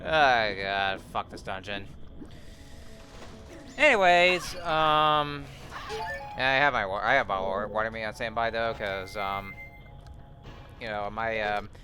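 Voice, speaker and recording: A man's voice: lowish voice, slow pace, pauses often. A man giving casual, rambling commentary on his gameplay, full of fillers and restarts, his voice a little scratchy. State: slightly scratchy voice. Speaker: let's play commentator. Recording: game music behind voice. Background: game music.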